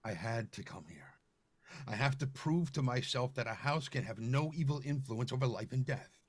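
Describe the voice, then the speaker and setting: A man's voice: medium pitch, animated, normal speed, husky, no room sound. A man playing an anxious, confused, stammering widower in an old-time radio horror play. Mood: brooding, confused. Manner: stammering, theatrical.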